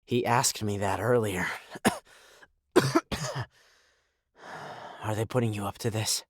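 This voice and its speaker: Sick, defiant, slow-talking rig worker. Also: male voice, lowish voice, steady tone, no echo, clean studio sound. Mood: weary, wary. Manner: bitter, agitated, profane. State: coughing, ill. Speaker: voice actor. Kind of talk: survival horror audio drama acting.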